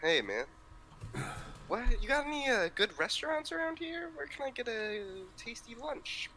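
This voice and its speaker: A man's voice: extremely high pitch, very animated, moderate pace, sharp tone, slight background noise, full character voice. A man playing a breezy, laid-back female inn guest in a tabletop game. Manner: breezy, casual.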